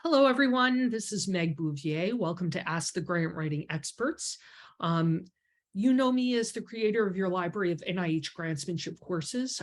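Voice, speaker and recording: Congested low-voiced woman on a video call. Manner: chatty, explanatory, talks with her hands. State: congested from a cold. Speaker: grant writing mentor hosting a members call. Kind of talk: casual webinar talk with many ums. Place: home office with bookshelves. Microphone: webcam on a video call.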